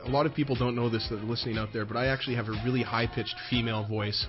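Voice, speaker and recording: A man co-hosting a sports radio show, joking around and giving animated hockey opinions. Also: mid-range voice, racing. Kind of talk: casual sports radio talk. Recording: dull lo-fi broadcast with faint music.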